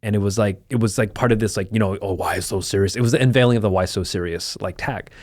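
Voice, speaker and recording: A man with a fairly low voice talks very quickly in a casual podcast discussion. He rambles as he explains, reaching for examples, and the sound is dry and close. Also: steady tone, no echo. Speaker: podcast co-host.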